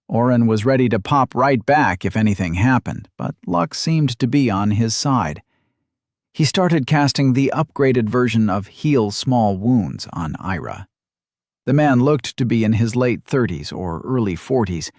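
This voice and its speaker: A man narrating a fantasy novel as an audiobook, in a steady, even storytelling manner. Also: on the low side, no echo, studio quality.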